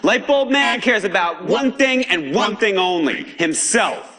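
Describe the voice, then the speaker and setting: Angry american underground radio rebel in a game, high voice, really animated, streetwise and accusing. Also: male voice, unhurried, full character voice. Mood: suspicious, indignant. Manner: emphatic, streetwise, preachy, swings between outrage and gravity. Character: fiery male underground broadcaster, angry, defiant, accusatory. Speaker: pirate broadcaster. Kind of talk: video game voice acting, pirate broadcast monologue.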